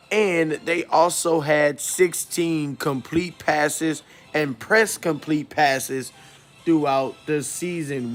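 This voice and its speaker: A man hosting an informal sports game preview, speaking haltingly and often correcting himself. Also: a little high. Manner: halting, stumbling delivery with self-corrections.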